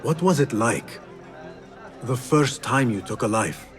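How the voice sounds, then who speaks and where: An actor playing a young male assassin in a game, calm, with a dry wit. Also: medium pitch, measured pace, faint music behind, lightly acted.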